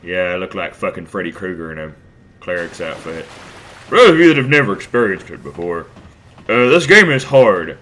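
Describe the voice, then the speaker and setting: Rambling, crude let's player. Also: male voice, low-pitched, big pitch swings, moderate pace, pushed and bright. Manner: rambling, crude, self-deprecating, many restarts. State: stuffed up from a cold. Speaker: let's play host. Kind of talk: casual gameplay commentary.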